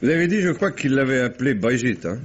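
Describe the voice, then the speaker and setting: A man's voice: medium pitch, lively intonation, natural voice. A man playing a businesslike, dryly wry police commissioner in an old-style French radio mystery. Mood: inquisitive. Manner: brisk questioning, dryly wry. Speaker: actor. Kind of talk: 1950s French radio drama acting. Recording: muffled, hissy old radio recording.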